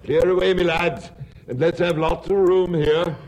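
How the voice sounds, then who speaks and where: A man voicing a jovial old male merchant, hearty and loud, with a raised, booming voice. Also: animated, slow pace, heavily acted, rough recording.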